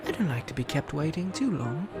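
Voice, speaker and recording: An actor playing a suave, smug, flirtatious prince in a romantic roleplay audio drama, teasing and haughty with a sinister edge. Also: male voice, a little high, very animated, fairly quick. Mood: silky, menacing. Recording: music under the voice.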